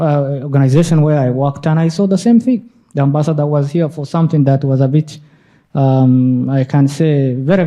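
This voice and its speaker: A man from Somalia in the audience, speaking haltingly with many fillers and restarts during a lecture's question time. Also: fairly high pitch, dark tone. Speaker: audience member asking a question. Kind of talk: lecture Q&A comment.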